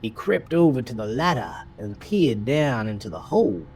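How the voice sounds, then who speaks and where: A man's voice: medium pitch, big pitch swings, moderate pace, darkish tone, slight background noise. A man narrating a horror story in a theatrical, suspenseful manner. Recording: music under the voice throughout. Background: prominent music bed.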